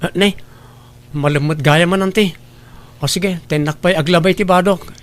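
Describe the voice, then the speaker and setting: A man's voice: high-pitched, fairly even intonation, normal speed, lightly acted. A man playing a respectful, hesitant younger brother in a radio drama. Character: hesitant, dutiful unmarried younger brother, easily persuaded. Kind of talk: Ilocano radio drama acting.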